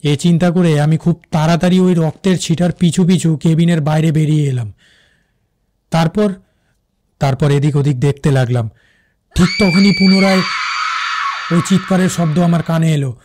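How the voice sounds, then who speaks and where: A man playing a shaken railway officer who recounts a ghostly encounter in an animated horror story, with suspenseful, halting delivery. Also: fairly high pitch, raised voice, close to an everyday voice. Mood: fearful, suspenseful. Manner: suspenseful, halting, repeats words for effect.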